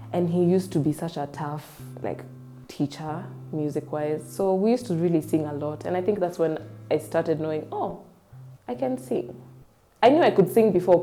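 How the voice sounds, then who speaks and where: A woman with a Kenyan accent, telling stories in a casual, rambling way with lots of fillers, lively when she recalls memories. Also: deep voice. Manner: chatty and rambling, with frequent "like" and "um". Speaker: singer-songwriter guest. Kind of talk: casual interview storytelling.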